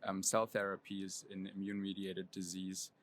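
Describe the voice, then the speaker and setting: A man, a professor, answering interview questions in a measured, precise way with frequent ums. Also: low voice, monotone, a little hiss or hum. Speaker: immunology professor. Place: convention center floor.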